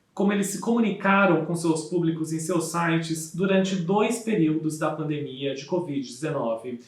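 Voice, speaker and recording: A Brazilian man giving a formal scholarly presentation as an academic researcher, in a measured, didactic manner. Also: a little high. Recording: echoey room sound.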